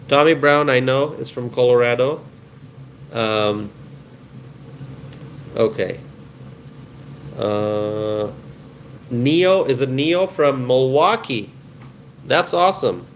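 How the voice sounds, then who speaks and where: A man's voice: medium pitch, takes it slowly, pauses often, a little hiss or hum. A man with a Bangladeshi accent hosting a casual live stream, chatting with viewers in a friendly, welcoming way and often repeating himself.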